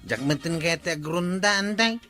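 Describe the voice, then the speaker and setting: A man's voice: fairly high pitch, moderate pace, bright, forceful tone, faint music behind. A man playing a lazy, shrugging villager in an Ilocano comedy drama. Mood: dismissive.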